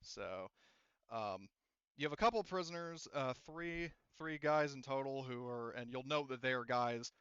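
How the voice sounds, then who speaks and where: A man narrating a tabletop roleplaying game as game master in a casual, halting, conversational way. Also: mid-range voice, a bit bright, clean tone, no room sound, lo-fi sound. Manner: halting, conversational explaining with quoted character lines.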